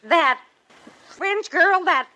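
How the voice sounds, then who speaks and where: Fussy hospital assistant, 1950s radio drama, high swoopy voice, scolding and excitable. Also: female voice, extremely slow, exaggerated voice. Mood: fussy indignation. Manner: fluttery, emphatic, scolding. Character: fussy, excitable, bossy female medical assistant.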